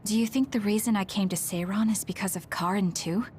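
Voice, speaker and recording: An actress playing a reserved, earnest young soldier in an anime video game dub. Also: female voice, mid-range voice, a little fast, darkish tone, a little hiss or hum, natural voice. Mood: calm, serious.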